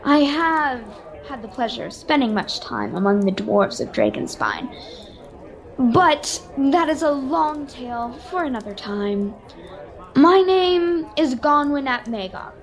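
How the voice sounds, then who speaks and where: A mysterious adviser in a radio fantasy serial, acted by a woman, speaks in a high voice. She is courteous and measured, choosing formal words as a knowing patron would. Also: sing-song, heavily acted. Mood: gracious. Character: mysterious cloaked woman, well-spoken adviser and quest-giving patron. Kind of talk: radio-serial adventure acting. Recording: home video-call recording.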